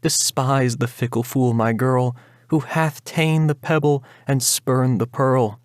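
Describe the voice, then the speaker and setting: A man's voice: medium pitch, fairly even intonation, slow pace, no room sound, clean studio sound, lightly acted. A man voicing a pious older mother, tender and admonishing, imploring in a prayerful way in a dramatic ballad reading.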